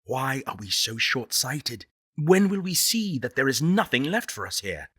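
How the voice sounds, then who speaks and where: A man playing a solemn, visionary director of a space exodus faction in a video game, speaking in measured, eloquent oratory with dramatic pauses. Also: mid-range voice, big pitch swings, bright, forceful tone, husky, no echo, studio quality, exaggerated voice. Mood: impassioned urging. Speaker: faction leader.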